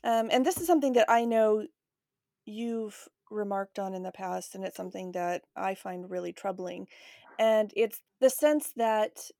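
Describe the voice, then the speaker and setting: Female podcast host, slightly high pitch with marked vocal fry, informal and candid, recorded dry. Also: measured pace, mellow, no echo. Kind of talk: casual conversational interview.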